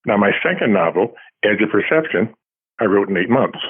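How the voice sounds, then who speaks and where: A man's voice: lowish voice, lively intonation, fairly quick, breathy edge. A man answering as an interviewed author, telling casual anecdotes with dry, self-deprecating humour. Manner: self-deprecating, dryly humorous. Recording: tinny phone-like line.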